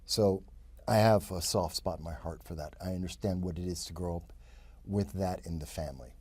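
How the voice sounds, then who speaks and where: Fifties New Yorker, interview guest, husky and loose, full of restarts. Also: male voice, low-pitched, moderate pace, a little mellow. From the Bronx, New York. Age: 50s. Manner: rambling, frequent fillers and restarts. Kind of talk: casual interview talk.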